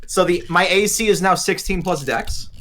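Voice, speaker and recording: A man's voice: high voice, unhurried, fairly bright tone. A man playing a tabletop role-playing game, chatting and joking casually with friends. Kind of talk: casual chat among friends, joking in and out of character.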